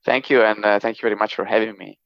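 An Italian-accented man in his thirties talks in a lower register, his voice smooth and resonant. He speaks haltingly, filling gaps with "um" and "you know". The sound is dry and a little dull, as if from a modest microphone. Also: flat delivery, no room sound. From northern Italy. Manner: hesitant, many fillers and restarts. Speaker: podcast guest, technology executive. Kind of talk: casual interview talk.

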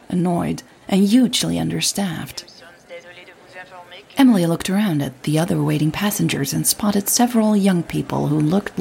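A woman with a husky, breathy voice narrating a scripted audio drama story. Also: fairly low pitch, a little mellow.

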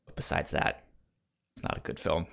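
A man in a casual film debate as a co-host, talking haltingly and often stammering and repeating words. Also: fairly bright tone.

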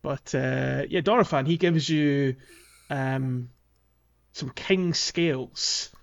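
A man's voice: medium pitch, slow pace, creaky, lo-fi sound. A man co-hosting a podcast, telling things in a hesitant, informal way as he talks through a video game. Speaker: podcast co-host. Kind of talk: casual video game playthrough chat.

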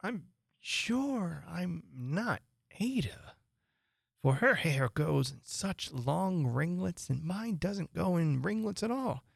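A prim, fretful little girl wonders aloud in a raised, gravelly voice, as a woman puts her on. She speaks slowly and properly, from a dry, close storybook reading. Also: fairly low pitch, no room sound, voice pushed up, full character voice. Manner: prim, talks to herself in wonder. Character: young girl, curious and fretful.

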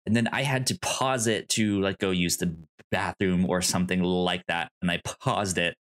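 A man co-hosting a podcast, discussing films casually in a halting, rambling way with easy laughter. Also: deep voice, fairly even intonation, a bit bright. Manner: rambling, stammering, laughs easily. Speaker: podcast co-host.

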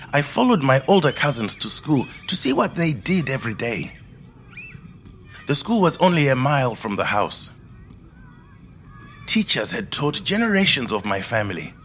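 An actor playing a quiet, thoughtful middle-aged man who tells his life story in a measured, reflective monologue. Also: mid-range voice. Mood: warm, nostalgic. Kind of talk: scripted first-person monologue, audio drama storytelling.

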